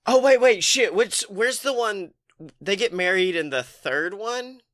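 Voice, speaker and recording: Bright, clear-voiced man, animated podcast host. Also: sits high, unhurried. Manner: animated, joking, profane. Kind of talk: casual comedic podcast banter.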